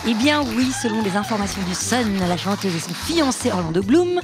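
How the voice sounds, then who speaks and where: A woman's voice: mid-range voice, expressive, fairly quick, loud music behind the voice. A woman on a TV quiz show explaining the answers in a chatty, informative way. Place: TV studio.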